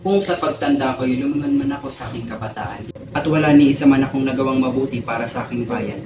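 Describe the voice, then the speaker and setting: A man's voice: medium pitch, lively intonation, fast, lightly acted. A man playing an indignant young student activist, delivering a declamatory dramatic speech. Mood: grave. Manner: declamatory, accusing, rhetorical. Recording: roomy, distant, music behind. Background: music.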